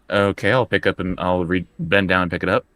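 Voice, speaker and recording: A man's voice: low voice, fairly bright tone, dry recording. A man playing a tabletop roleplaying game, speaking conversationally and hesitantly, often repeating words. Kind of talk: improvised in-character tabletop play, conversational.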